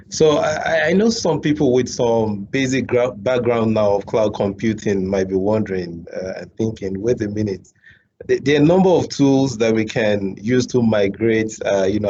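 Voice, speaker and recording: A man's voice: fairly low pitch, normal speed, dark tone. A man hosting an interview show, speaking hesitantly with frequent fillers and repeated words. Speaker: show host. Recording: dull lo-fi audio, faint background hum.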